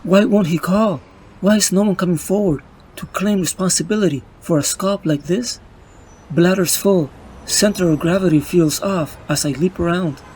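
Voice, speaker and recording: An actor playing a young, weary, wisecracking superhero, narrating in a brooding, wry comic-book style. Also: male voice, sits high, normal speed, darkish tone, slight background noise, natural voice, poor audio. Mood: anxious, grieving.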